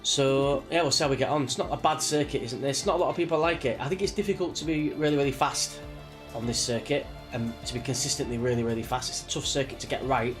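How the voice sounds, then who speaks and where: A British man talks casually to his livestream viewers with music playing behind him. He sounds a little sniffly from a cold. His delivery is chatty and rambling, at a middle pitch. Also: fast talker. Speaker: live streamer, sim racing driver. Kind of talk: casual livestream chat before a race.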